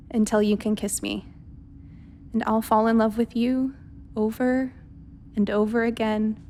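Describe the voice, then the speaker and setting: A woman's voice: a little high, slight background noise. A woman acting in a radio play as a wry, flirtatious ship's doctor who is tender and reassuring with her lover. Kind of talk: naturalistic radio drama dialogue.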